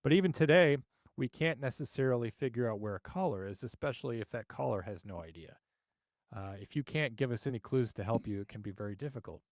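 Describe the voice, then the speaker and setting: A man's voice: medium pitch, expressive, fast talker, no echo. Man presenting case analysis, dry close sound. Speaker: host, active 911 dispatcher.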